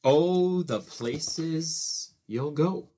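A man reading a children's book aloud as host, with measured phrasing and expressive emphasis. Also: on the high side. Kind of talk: storybook read-aloud.

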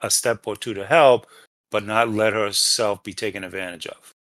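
A man co-hosting a casual book discussion, speaking in a halting, questioning way and often repeating himself. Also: lowish voice, fairly even intonation, fairly bright tone, no room sound, studio quality. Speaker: podcast co-host.

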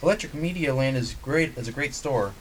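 A male performer voicing an eager, easily scared pet dog with an excitable, wry delivery in an amateur radio comedy. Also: mid-range voice, a little fast, close to an everyday voice, rough recording. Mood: earnest. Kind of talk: amateur old-time radio comedy drama. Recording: faint background noise.

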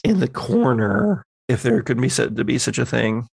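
A man working as game master, narrating a tabletop horror game in halting, suspenseful descriptions. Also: mid-range voice, sing-song, gravelly, slightly rough, no echo, studio quality.

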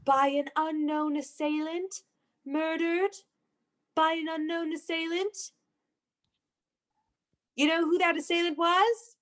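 A woman's voice: very high-pitched, fairly even intonation, slow, long pauses, fairly bright tone, heavily acted. An actress playing an obsessive, unhinged young woman in a melodramatic radio play, with a theatrical, breathless, intense manner. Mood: haunted, dread. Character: obsessive, unhinged young woman convinced of her dark power. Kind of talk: melodramatic old-time radio play acting.

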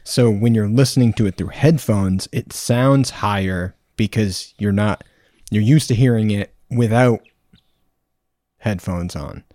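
A man's voice: lowish voice, a little slow, mellow, dry recording, studio quality. A young man hosting a podcast, explaining things at length in casual chat. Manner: explaining, rambling. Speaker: podcast host. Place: podcast room.

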